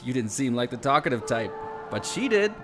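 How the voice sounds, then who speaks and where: A man's voice: medium pitch, sing-song, fairly quick, close to an everyday voice. An actor playing a smug agent tailing his target, falsely friendly and taunting, in a radio drama. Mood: taunting menace. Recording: music under the dialogue.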